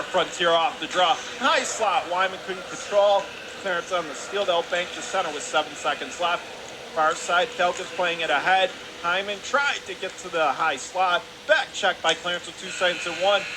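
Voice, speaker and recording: A man's voice: high voice, moderate pace. Man calling hockey over arena noise. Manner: clipped running phrases, calling action as it happens. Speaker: play-by-play radio commentator. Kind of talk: live ice hockey play-by-play commentary. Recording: clear steady arena noise behind voice. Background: hockey arena ambience.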